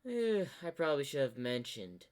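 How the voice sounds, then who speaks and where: A man's voice: medium pitch, very animated, normal speed, natural voice. A man voicing an ancient corrupted zebra spirit from a fan-made fantasy cartoon, smug and sly, with a theatrical, teasing drawl. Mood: gleeful, taunting.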